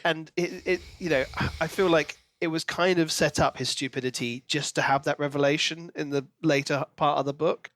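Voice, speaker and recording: A man co-hosting a podcast, chatting casually about books in an animated storytelling way and acting out imagined lines. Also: on the high side, normal speed, hardly any pauses. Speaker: podcast co-host.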